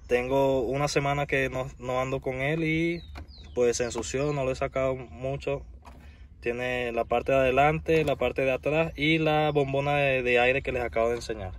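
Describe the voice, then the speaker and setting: A young man from Venezuela with a Venezuelan Spanish accent, talking casually to a phone camera from inside his parked car, relaxed and chatty. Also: mid-range voice, a little hiss or hum. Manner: chatty, relaxed, repeats "de verdad" often. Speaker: vlogger. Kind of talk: casual vlog talk to camera. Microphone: phone held at arm's length.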